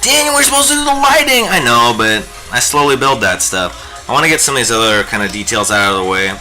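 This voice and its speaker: A man's voice: mid-range voice, sing-song, fairly quick, music in the background, rough recording. A young man hosting a live painting stream, chatting in a rambling, jokey way while he works. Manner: rambling and jokey, lots of fillers. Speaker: livestream host teaching digital painting.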